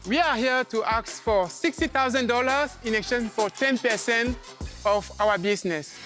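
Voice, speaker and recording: A man from Cameroon, an English speaker, pitching his food business with showy enthusiasm on a TV clip. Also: normal speed, bright, forceful tone. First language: other than English. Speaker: entrepreneur pitching. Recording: TV clip with music.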